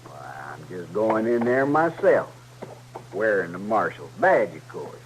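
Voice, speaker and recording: An actor playing a bullying, hot-tempered outlaw with a sarcastic, menacing rural Western American drawl in a radio drama. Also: male voice, lowish voice, sing-song, measured pace. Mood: mock-friendly. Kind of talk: old-time radio Western acting. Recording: slightly noisy vintage radio recording.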